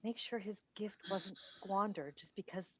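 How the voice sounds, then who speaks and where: A woman's voice: medium pitch, fairly even intonation, normal speed, breathy edge, dry recording, natural voice. A woman playing a proud, defensive wife in a staged play reading, earnest in manner, recorded over a video call. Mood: anxious, confiding. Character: defensive, proud wife who hosts a talk show.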